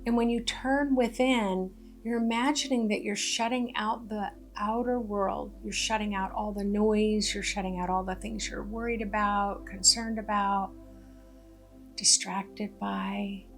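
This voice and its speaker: A woman leading a guided meditation in a gentle, deliberate way, pausing between short phrases. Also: mid-range voice, steady tone. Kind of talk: guided meditation, soothing narration. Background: background music.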